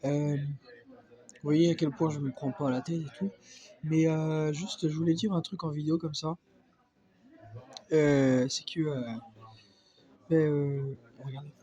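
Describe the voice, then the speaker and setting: A man's voice: fairly high pitch, flat delivery, slow pace, long pauses, warm tone, highs cut off. A man with a cold, talking to his phone in a casual, hesitant vlog full of fillers and restarts. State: has a cold. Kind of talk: casual reaction vlog monologue. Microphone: phone not held, off-camera.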